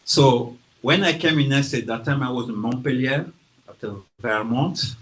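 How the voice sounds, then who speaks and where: A man's voice: medium pitch, a bit bright. Man from Guinea with a French accent. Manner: slow, careful delivery with hesitations and acted-out quotes. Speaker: author presenting his book. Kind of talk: informal talk to an audience. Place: library event room.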